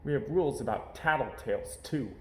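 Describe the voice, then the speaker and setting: A man voicing an eager, excitable young boy bear cub in a children's storybook. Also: medium pitch, a little fast, echoey room, natural voice. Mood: defensive. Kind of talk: children's picture book read-aloud.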